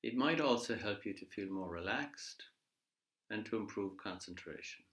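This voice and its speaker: A man leading a guided body scan meditation in a measured, gently instructive way, leaving pauses between phrases. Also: on the low side, animated. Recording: echoey room.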